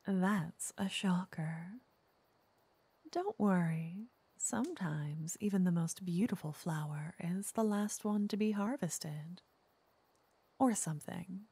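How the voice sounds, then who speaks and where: A woman playing a flirty, teasing witch in an ASMR roleplay, softly and often in whispers, intimate and coaxing. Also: fairly low pitch, lively intonation, takes it slowly, dry recording, heavily acted. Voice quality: soft, breathy, often whispering. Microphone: close microphone.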